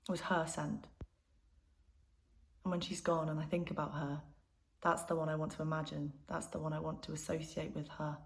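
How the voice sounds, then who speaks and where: A woman's voice: deep voice, flat delivery, moderate pace, gravelly, pauses often. A young woman acting an intimate, conversational monologue as a troubled granddaughter confiding in her mother. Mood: sad, subdued. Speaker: actor performing a self-written monologue.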